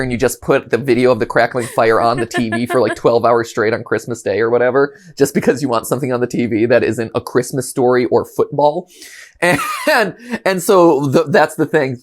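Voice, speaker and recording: A man from Ohio in his thirties, hosting a casual reaction show and rambling animatedly, with frequent restarts and chuckles. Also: medium pitch. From Ohio, USA. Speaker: host.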